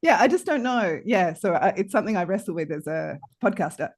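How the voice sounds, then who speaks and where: A woman's voice: medium pitch, animated, a little fast, darkish tone. A woman podcaster in casual conversation, speaking reflectively and thinking aloud as she goes. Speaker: podcaster and interviewer.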